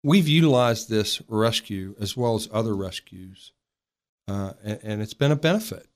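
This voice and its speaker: Blunt man with a lowish voice. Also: expressive, normal speed. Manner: blunt, emphatic. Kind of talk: opinionated spoken remarks in an interview.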